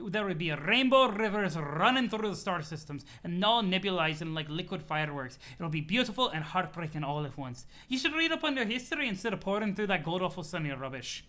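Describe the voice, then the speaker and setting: A man's voice: sits high, pushed and bright. A man voicing a haughty, foul-mouthed young troll sea dweller in a silly put-on Scottish accent, pompous and indignant, reading a webcomic aloud. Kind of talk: comic read-aloud of a webcomic.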